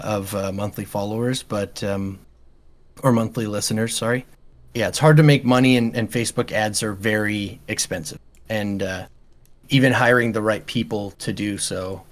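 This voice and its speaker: A man talks in a fairly low, quiet voice. He comes across as calm and easygoing, answering in a casual, conversational interview style. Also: moderate pace. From Canada. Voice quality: quiet speaking voice. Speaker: metal band vocalist, podcast guest.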